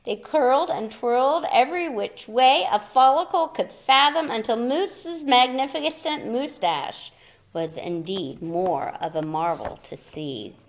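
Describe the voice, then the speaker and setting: A woman reading a children's picture book aloud in a theatrical, playful way, with dramatic pauses and little asides for young listeners. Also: fairly high pitch. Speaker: storyteller.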